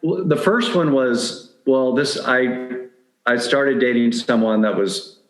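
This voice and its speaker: A man's voice: medium pitch, animated, slow, echoey room, low-quality recording. A middle-aged man speaking candidly and anecdotally as a guest in a conversational interview. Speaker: podcast guest giving dating advice.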